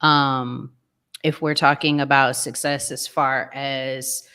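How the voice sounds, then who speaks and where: A woman with a deep, low voice speaks as a podcast panelist. She gives casual opinions and reasons them out in a matter-of-fact manner. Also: flat delivery, slow pace, sharp tone, smooth.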